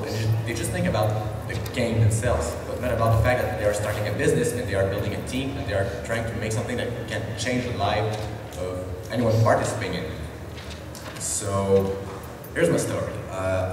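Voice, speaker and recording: A man's voice: fairly low pitch, background noise. French-accented man in an echoey hall. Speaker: indie studio founder. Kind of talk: telling his story from the audience at a panel. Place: large convention hall. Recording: echoey convention hall from far off.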